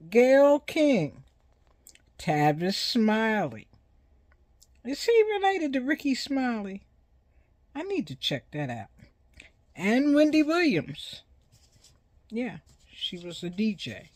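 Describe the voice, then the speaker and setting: Older female host, 60s plus, unhurried halting delivery, close dry sound, informal educational talk. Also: mid-range voice, a little mellow, no echo. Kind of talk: informal educational monologue on radio history.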